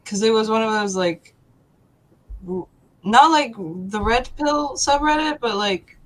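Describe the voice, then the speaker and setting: A woman, answering casually and haltingly as a talk show guest. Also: on the high side, lively intonation, rough recording. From Poland. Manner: hesitant, halting.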